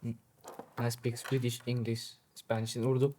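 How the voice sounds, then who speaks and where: A man's voice: mid-range voice, flat delivery, normal speed, mellow. A teenage boy student explaining his views thoughtfully in an interview. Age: teens. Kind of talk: interview answer.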